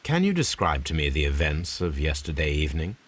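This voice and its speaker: Victorian English detective, low animated voice, formal, courteous, probing, composed, video game cutscene. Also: male voice, fairly quick, full character voice.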